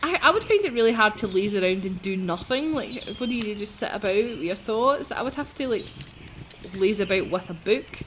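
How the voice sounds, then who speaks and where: Woman, mid pitch, giggly, chatty, casual game commentary, faint noise and chirping. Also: expressive, fairly quick, fairly bright tone. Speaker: let's play host reading a visual novel aloud. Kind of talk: casual gameplay commentary and read-aloud. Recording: noisy, game birdsong behind the voice. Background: chirping birds from game audio.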